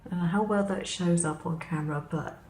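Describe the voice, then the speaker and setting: A woman with a slightly hoarse voice, chatting casually as she demonstrates craft materials at her table, in an unhurried way. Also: on the low side, darkish tone. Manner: unhurried, chatty explaining while handling materials. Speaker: craft vlogger. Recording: roomy echo with faint background hum.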